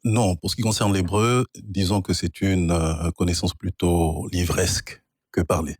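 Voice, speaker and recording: Homme à la voix grave, posé. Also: male voice, low voice, fairly even intonation, darkish tone, no room sound, studio quality. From Angola. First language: Kikongo. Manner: measured, professorial, spells out words. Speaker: guest, linguist and author. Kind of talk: explanatory interview answers.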